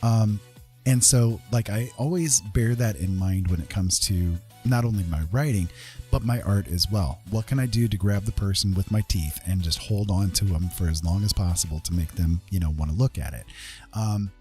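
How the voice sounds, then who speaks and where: A man with a husky voice chatting casually as he paints on a live stream, rambling and self-deprecating, often checking that listeners follow. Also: sits low, normal speed, dark tone, faint music behind. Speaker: artist and writer hosting a live stream.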